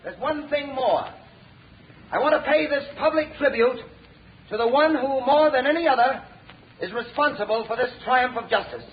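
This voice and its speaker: An actor playing a righteous court official, speaking formally and declaiming in 1930s radio style. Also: male voice, extremely high pitch, normal speed, slight background noise. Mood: grave, accusing. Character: righteous male official denouncing corruption in court. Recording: muffled old radio broadcast, crowd murmur.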